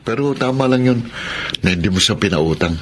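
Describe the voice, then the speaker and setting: A Filipino man acting as a steady, protective young husband in a radio drama. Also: on the low side, brisk, a little hiss or hum, lightly acted. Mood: calm, advising.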